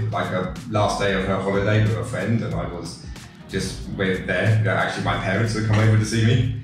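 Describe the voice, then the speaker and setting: An English man in his forties, a tech company co-founder, talking casually in an interview with lots of fillers and restarts. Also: low voice. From England. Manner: relaxed, rambling with many fillers and restarts. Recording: echoey room, far from the mic, music behind.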